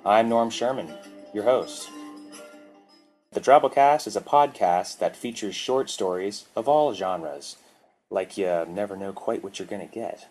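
A man's voice: on the low side, expressive, unhurried, a little mellow, soft background music. A man hosting a podcast, introducing it with dry, offbeat humour. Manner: offbeat, dryly joking. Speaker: podcast host. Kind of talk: scripted podcast introduction and narration.

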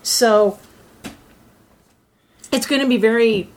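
A woman talking casually in a round-table discussion, halting as she thinks her way through explanations. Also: on the high side. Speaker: voice actor and writer. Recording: noisy group room recording.

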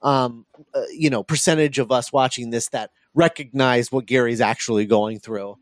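A man co-hosting a podcast in a casual film discussion, often restarting his phrases in a halting way. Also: medium pitch, fairly even intonation, dry recording, clean studio sound. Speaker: podcast co-host.

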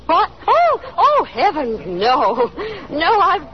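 High, lilting, affected young woman. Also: huge pitch swings, slow, exaggerated voice. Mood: flustered, airy. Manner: gushing, affected, nervous little laughs. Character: chatty, anxious young small-town woman putting on airs. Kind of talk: 1930s radio drama acting. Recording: dull, hissy 1930s radio recording.

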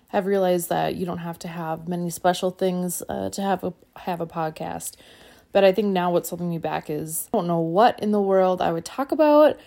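A woman speaking calmly and evenly into her phone, reflective and trailing off at times. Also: lowish voice, creaky. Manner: calm, even-keeled, trails off. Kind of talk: reflective personal monologue.

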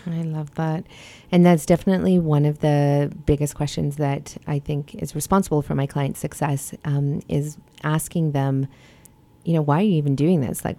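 Low, flat-voiced woman podcast host. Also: vocal fry, dry recording. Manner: earnest, passionate, rambling with many fillers. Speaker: podcast host and health coach. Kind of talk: casual podcast conversation.

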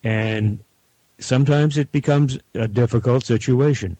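A middle-aged or older man, a guest producer, talking reflectively in measured, deliberate short phrases. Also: mid-range voice, dark tone. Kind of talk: reflective panel talk.

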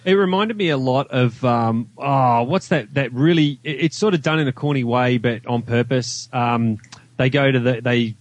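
A man's voice: medium pitch, moderate pace, no echo. A man as a podcast guest in casual film discussion, rambling and stammering as he searches for words. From Australia.

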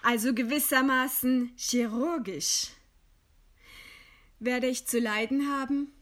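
Proud condemned female writer, high voice, very slow, deliberate, scornful irony, composed, radio play. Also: fairly bright tone. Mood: cool irony. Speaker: radio drama actor. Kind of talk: German radio play acting.

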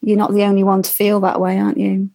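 A woman in her forties, a mother guesting on a podcast, talking hesitantly with many pauses. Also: medium pitch, completely flat delivery, warm tone. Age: 40s. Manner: hesitant, many fillers and restarts. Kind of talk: casual interview chat.

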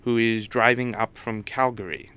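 A man speaking as an interview guest in a casual, hesitant way, often pausing mid-sentence. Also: mid-range voice, fairly even intonation, sharp tone, smooth. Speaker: interview guest, head of a digital arts college. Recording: faint background noise.

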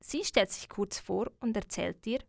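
Female radio presenter, measured scripted narration, dry studio sound. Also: mid-range voice, expressive, gravelly, dry recording.

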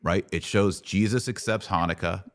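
Deep-voiced middle-aged American man, dry sound. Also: normal speed, no echo. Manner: explanatory, checks understanding with short questions. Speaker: host answering Bible questions. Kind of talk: conversational religious teaching.

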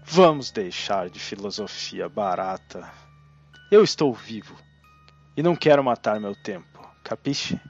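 An actor with a Brazilian accent playing a manipulative undead necromancer in an audio drama, theatrical, grandiose and cajoling. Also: male voice, lowish voice, animated, unhurried, dull audio, faint music behind. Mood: menacing, smug. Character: undead male necromancer ancestor, smug, manipulative, persuasive villain.

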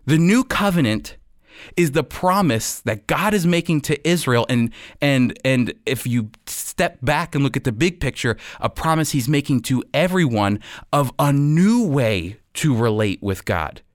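A man giving a radio Bible teaching, explaining and reading scripture with emphatic, deliberate phrasing. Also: mid-range voice, lively intonation, dry recording, studio quality.

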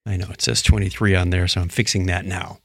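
A man's voice: deep voice, level tone, fast talker, fairly bright tone, no room sound, clean studio sound. A man hosting a podcast with playful, theatrical announcing and casual comic banter. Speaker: podcast host.

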